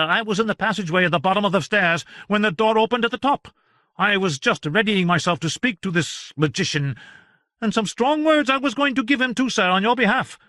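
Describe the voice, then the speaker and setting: A man voicing an indignant male madhouse attendant who protests in a high, loud, aggrieved voice. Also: no room sound.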